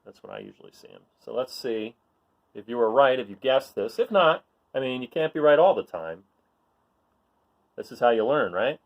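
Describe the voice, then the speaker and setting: A man's voice: mid-range voice, huge pitch swings, normal speed, vocal fry, muffled recording. A man hosting a children's read-aloud, reading a picture book and chatting in an encouraging way, often putting questions to his listeners.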